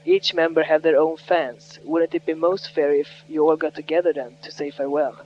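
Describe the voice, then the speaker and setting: A man's voice: on the high side, level tone, moderate pace, slight background noise. A man working as an interviewer, putting questions over the telephone. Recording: muffled, humming phone recording.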